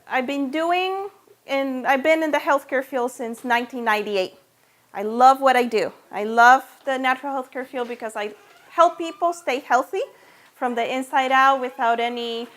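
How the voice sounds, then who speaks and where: A woman's voice: sits high, fairly bright tone. A middle-aged woman from Venezuela with a Spanish accent gives an informal personal talk to a small group, conversationally checking in with listeners. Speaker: natural health practitioner giving a talk.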